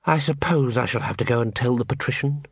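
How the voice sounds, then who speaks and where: A man's voice: mid-range voice, fast talker, gravelly, husky, dry recording. A man voicing a stern, snappish assassin guild leader in a curt, commanding manner for an audiobook. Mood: resigned.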